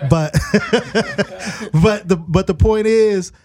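A man's voice: high-pitched, slow pace, mellow. A man co-hosting a casual group chat, joking and chuckling as he makes his points.

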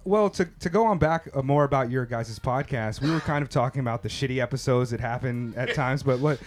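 A man from California hosting a casual podcast interview, relaxed and full of "like" and "uh" fillers. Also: medium pitch, a little fast, background noise. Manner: relaxed, filler-heavy, says "like" a lot. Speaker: podcast host.

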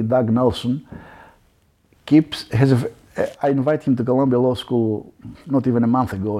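Man, middle-aged, husky voice, mellow tone, animated talker, guest professor. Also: mid-range voice. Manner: animated, frequent "I mean" asides, chuckles. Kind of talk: conversational interview answers.

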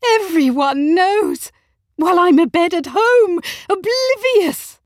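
A woman acting an anguished, high-pitched aristocratic wife. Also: expressive, slow, dry recording, studio quality, heavily acted. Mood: bitter outrage. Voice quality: trembling, shrill. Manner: overwrought, emphatic. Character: anguished aristocratic mother, outraged and pleading wife. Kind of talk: audiobook character acting.

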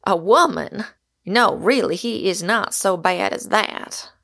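A woman's voice: medium pitch, expressive, slow, gravelly, a bit bright, no room sound, clean studio sound, heavily acted. A woman reading the lines of a vain, pedantic American doctor, earnest and soothing. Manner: earnest, soothing, slightly pompous.

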